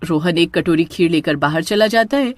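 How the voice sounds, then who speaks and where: A woman's voice: lowish voice, normal speed, no echo. A woman narrating a scripted story as storyteller.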